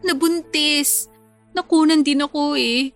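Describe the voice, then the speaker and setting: A woman's voice: sits high, fairly even intonation, slow pace, a bit bright, faint music behind, heavily acted. A Filipino woman playing a lovesick, insecure pregnant bride-to-be in a radio drama, confiding emotionally and clinging to hope. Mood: hurt, confiding. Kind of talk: radio drama acting in Tagalog.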